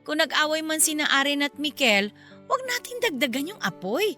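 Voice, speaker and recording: Feisty filipino mom in radio drama, high voice, frank n teasing, music behind. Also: female voice, big pitch swings, normal speed, pushed and bright, exaggerated voice. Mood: frank, advising. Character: feisty, frank middle-aged mother, forgiving best friend.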